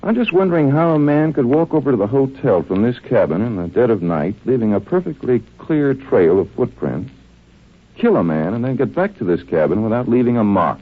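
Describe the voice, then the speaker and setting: An actor playing a genial, shrewd detective doctor in 1940s radio drama style, with a folksy, musing delivery. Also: male voice, medium pitch, big pitch swings, a little fast. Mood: probing. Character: genial, shrewd male detective doctor investigating a desert murder. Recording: muffled, narrow-band vintage radio recording.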